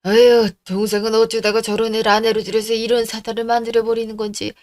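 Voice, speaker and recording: A woman's voice: on the high side, little pitch movement, fast, a little mellow, close to an everyday voice. Woman acting grumbling, blaming in-law relative. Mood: whining, blaming. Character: bickering, self-justifying older in-law relative, grumbling. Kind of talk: radio drama story acting.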